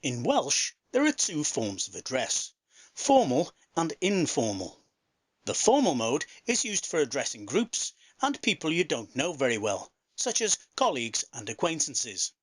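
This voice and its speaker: A man narrating a scripted explanation in a language lesson, clear and explanatory. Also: on the high side.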